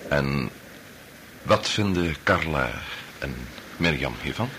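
An actor playing an authoritative older doctor and father, measured and formal, with a Dutch accent in a radio drama. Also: a bass voice, expressive, bright, forceful tone, heavily acted. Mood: formal, resolute. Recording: noisy old radio drama recording.

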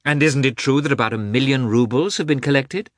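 A man's voice: mid-range voice, a little fast, no echo, clean studio sound, voice pushed up, natural voice. A man voicing an eager, gossipy society princess in a classic novel, insistent and keen to share news. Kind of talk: audiobook character voice in a classic novel.

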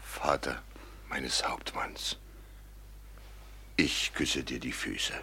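An actor playing a rough, fiercely loyal robber henchman in a classic radio drama, solemn and forceful. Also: very deep voice, fairly even intonation, very slow, in a whisper, exaggerated voice. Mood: solemn devotion.

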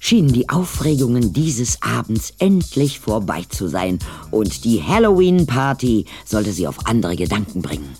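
A man's voice: medium pitch, sing-song, moderate pace, hardly any pauses, a little mellow. A man narrating a scripted German audio drama in a dramatic storytelling manner. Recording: faint music behind.

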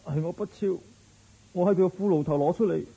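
An actor playing a humble, apologetic goldsmith's son in a radio drama, earnest and meek, speaking Hong Kong Cantonese. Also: male voice, high voice, brisk, creaky, mellow, poor audio. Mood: deferential. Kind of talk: Buddhist story radio drama acting.

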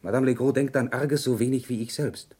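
An actor playing a stern, upright shopkeeper and husband in a radio play, measured, gruff and authoritative. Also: male voice, mid-range voice, steady tone, warm tone, lightly acted. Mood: stern, indignant. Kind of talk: German radio play acting.

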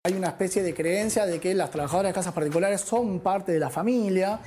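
An Argentine woman comments articulately at a quick pace. Her voice is low and mellow, with faint noise behind it.

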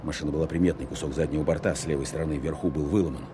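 Army captain, bass register, rapid matter-of-fact radio-drama narration, vehicle noise. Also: male voice, fairly even intonation. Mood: focused, tense. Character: businesslike army captain recounting a car pursuit. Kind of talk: first-person radio play narration. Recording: clear vehicle and road noise behind.

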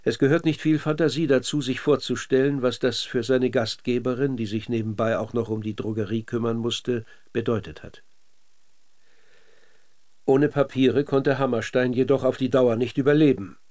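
A male narrator with a standard German accent and a medium-pitched voice. He reads scripted documentary drama for radio, measured and factual throughout. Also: steady tone, a little mellow.

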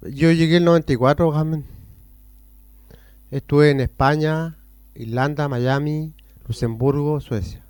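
Chilean man, rambly and repetitive, smooth voice, dead dry sound. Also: fairly high pitch, steady tone, a little slow, pauses often, darkish tone, no echo. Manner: rambling, jumps between topics with repeats. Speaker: interviewee on hospital radio. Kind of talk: casual rambling storytelling.

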